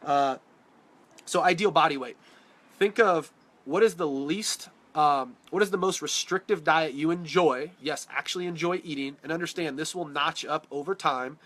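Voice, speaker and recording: Male fitness coach, blunt and direct, stuffy congested voice, bright tone, webcam live stream. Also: on the high side, moderate pace. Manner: direct, blunt, answers viewer questions by name. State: congested from a cold. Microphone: phone or webcam on a live stream.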